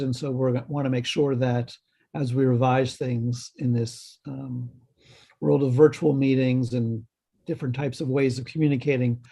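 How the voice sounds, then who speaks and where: A man giving a halting update at a health committee meeting over a video call, slightly hoarse, with many ums and restarts. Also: mid-range voice, dark tone. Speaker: health department staff presenter.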